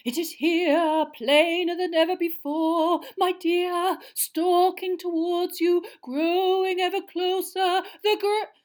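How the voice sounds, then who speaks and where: A woman putting on an airy, mystical older witch of a teacher who murmurs her portents slowly and breathily. The voice floats high above her own, and everything comes out drawn-out and theatrical, as in a storybook reading. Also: level tone. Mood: dreamy mystic. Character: dreamy, mystical older female divination teacher.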